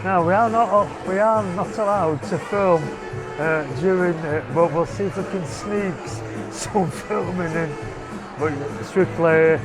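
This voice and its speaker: A man hosting a family vlog talks in a fairly high voice while he walks a theatre with a handheld camera. His chatty tour patter is full of "so" and "uh". Music plays clearly behind him. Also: measured pace, rough recording. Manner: chatty, loose, with frequent "so" and "uh". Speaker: family vlogger host. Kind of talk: casual walking tour narration. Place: inside a theatre, foyer and private box.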